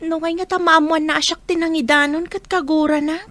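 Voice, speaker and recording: A woman's voice: very high-pitched, fairly even intonation, brisk, fairly bright tone, dry recording. A woman playing an earnest, kindly young female student in a radio drama.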